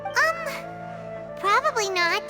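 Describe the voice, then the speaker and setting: A woman voicing a small, childlike fairy companion in a video game, excitable and naive, with a squeaky voice. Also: takes it slowly, heavily acted. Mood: confused. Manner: speaks of herself in third person, exclamatory. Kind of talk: video game character dialogue. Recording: music behind the voice.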